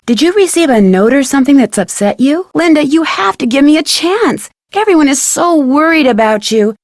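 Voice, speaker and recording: A woman's voice: a little high, a little mellow, no echo, clean studio sound. A woman voicing a young, friendly and persistent amateur detective in an adventure video game, speaking earnestly and politely. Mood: gentle concern. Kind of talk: scripted adventure game dialogue.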